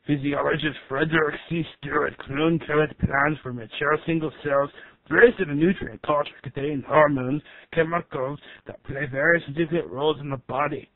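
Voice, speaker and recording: A man playing an excitable, easily irritated doctor who lectures on science in a comedy skit, over a thin recording. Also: a little high, no room sound, narrow, tinny audio, lightly acted. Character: excitable, easily irritated male doctor explaining cloning with mock-authority. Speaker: doctor character giving science explanations. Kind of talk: amateur comedy skit acting with scripted explanation. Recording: thin, band-limited, dry radio-like sound.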